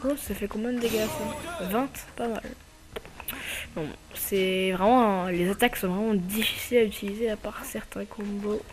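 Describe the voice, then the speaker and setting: A man's voice: sits high, poor audio. A man with a slight cold giving casual, hesitant commentary over his own gameplay as channel host. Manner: hesitant, many filler pauses.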